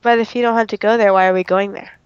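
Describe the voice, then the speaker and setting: A woman's voice: medium pitch, fairly even intonation, quick pace, clean tone, dry recording. A young woman chatting casually as a gaming companion over a dull, lo-fi voice call. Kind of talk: casual chat.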